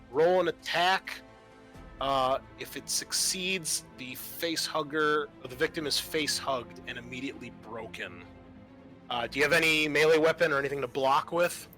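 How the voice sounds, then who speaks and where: Bright-voiced man running a tabletop game. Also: a little high, poor audio. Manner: halting, casual, profane. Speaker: game master. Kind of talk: improvised narration of a tabletop horror game.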